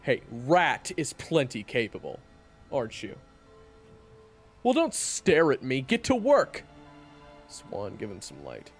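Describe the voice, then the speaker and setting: A man playing a gruff, impatient hired hunter in a fantasy audio drama, brusque and sardonic. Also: mid-range voice, wildly swooping pitch, slow, pauses often, soft background music, full character voice. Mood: loud, demanding. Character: gruff, impatient, blunt hired killer-hunter named Raven. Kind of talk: fantasy audio roleplay acting.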